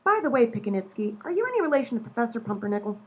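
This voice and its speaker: Woman playing a high-pitched ditzy lady detective, Rose. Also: big pitch swings, very fast, husky. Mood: curious. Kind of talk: comedy podcast sketch acting. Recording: dull lo-fi audio.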